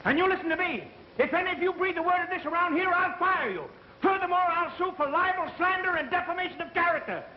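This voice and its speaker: A man acting a pompous, hot-tempered American company boss in a 1930s film, speaking emphatically and excitably. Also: very high voice, quick pace, few pauses. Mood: furious. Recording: dull vintage 1930s film soundtrack, faint noise.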